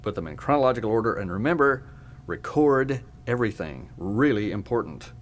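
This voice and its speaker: A man acting as a laid-back boss in an audio drama. His voice sits fairly low, and he talks casually and breezily, handing out instructions as he goes. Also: lively intonation, fairly quick, natural voice. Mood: breezy, instructing. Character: laid-back male boss briefing a new archivist. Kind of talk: naturalistic audio drama dialogue.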